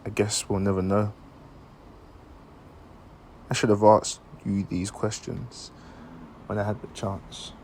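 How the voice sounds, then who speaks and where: A man performing a dramatic monologue as an anguished dying man, earnest and halting as he questions someone he loves. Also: on the low side, level tone, darkish tone, slight background noise. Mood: pleading, bitter. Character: anguished dying man confessing long-hidden love, searching for meaning.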